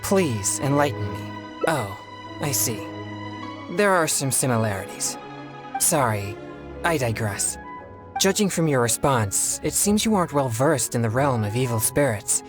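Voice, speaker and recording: An actor playing an earnest, polite, easily flustered teenage exorcist boy in a video game, speaking in a formal, measured way. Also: medium pitch, sing-song. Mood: composed, businesslike. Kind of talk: video game character dialogue. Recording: music behind the voice. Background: game music.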